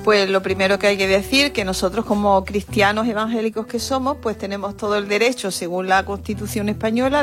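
A woman, a religion teacher, explaining things earnestly and steadily on a panel talk show. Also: mid-range voice.

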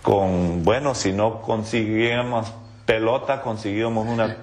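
Slow-spoken man. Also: on the low side, steady tone, darkish tone. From Honduras, raised in an English-speaking home. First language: English and Spanish. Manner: halting, searching for words, seeks agreement. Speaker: guest, evangelism trainer. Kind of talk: religious interview conversation.

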